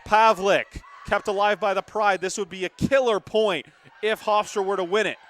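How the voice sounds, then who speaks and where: Young male play-by-play sportscaster, high register, dramatic delivery for live volleyball from a gym. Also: fairly even intonation, normal speed, fairly bright tone. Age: young adult. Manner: emphatic, dramatic calls. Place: courtside in a gymnasium.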